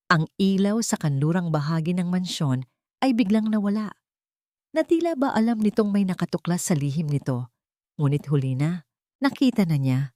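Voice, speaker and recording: Low-voiced Filipino woman narrating suspense fiction. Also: darkish tone, no room sound, clean studio sound. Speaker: audio story narrator. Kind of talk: scripted dramatic narration of a suspense story.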